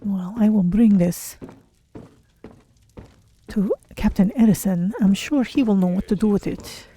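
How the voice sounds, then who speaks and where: Casting: a woman as observant cat-woman adventurer for a fantasy game, warm dark timbre, medium pitch, deliberate muttered delivery. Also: slightly rough. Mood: thoughtful. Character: female Khajiit cat-folk adventurer, careful and observant. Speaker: streamer voicing her game character. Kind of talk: in-character game playthrough narration.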